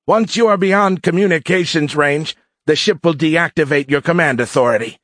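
Give the cadet , acted out by a male narrator, a voice pitched well up from a man's usual. He is a young furry alien bully in a storybook, full of gloating bluster that frays easily. The sound is close and dry. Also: normal speed, a bit bright, no room sound, natural voice. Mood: gloating, mocking. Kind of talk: audiobook character acting.

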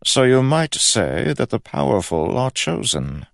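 A man's voice: medium pitch, sing-song, unhurried, creaky, a bit bright, no room sound, clean studio sound, pitched above the performer's own voice, full character voice. A man voicing a pompous, sly, greedy king of England in an audiobook, with an imperious, droll delivery. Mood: probing, covetous. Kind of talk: audiobook character acting.

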